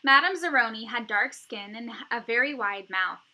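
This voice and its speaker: A woman teacher reading a children's novel aloud, with expressive, emphatic phrasing and dramatic pauses. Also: on the high side.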